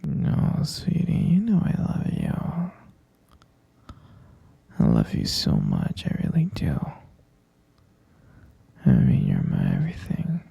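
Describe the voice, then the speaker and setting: Man acting a breathy, creaky-whispering obsessive boyfriend. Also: extremely low pitch, wildly swooping pitch, warm tone, dry recording, full character voice. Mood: possessive, coaxing. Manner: cooing, coaxing, slow and halting. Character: obsessive possessive boyfriend captor, sweetly menacing. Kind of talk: whispered ASMR roleplay.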